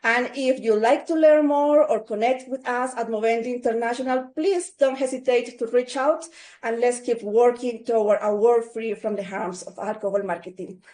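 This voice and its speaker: Mellow-voiced woman closing a talk. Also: on the high side, normal speed. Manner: earnest, hopeful. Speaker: webinar presenter from an advocacy organization. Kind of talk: closing a prepared presentation.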